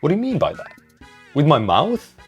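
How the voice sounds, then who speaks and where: A man's voice: fairly high pitch, wildly swooping pitch, moderate pace, slightly rough, dull audio, soft background music. A young man answering viewer questions in a casual vlog, rambling, self-mocking and swearing offhandedly. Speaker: vlogger.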